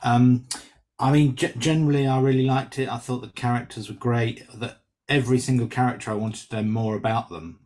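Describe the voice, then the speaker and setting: A man's voice: medium pitch, fairly even intonation, fairly quick, darkish tone. A man, an actor on a reading panel, giving casual spoken feedback in an enthusiastic, encouraging way.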